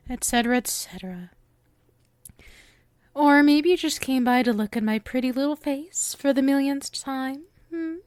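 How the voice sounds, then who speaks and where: Woman acting a slow, coy, lovestruck witch. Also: on the high side, dry recording. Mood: flirtatious, teasing.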